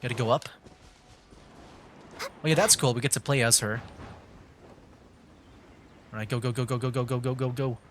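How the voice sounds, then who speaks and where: A man's voice: medium pitch, fairly even intonation, moderate pace, pauses often, a bit bright, slight background noise. A man streaming a game, giving casual live commentary, thinking aloud with mild exclamations. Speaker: streamer, VTuber gamer. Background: faint game music.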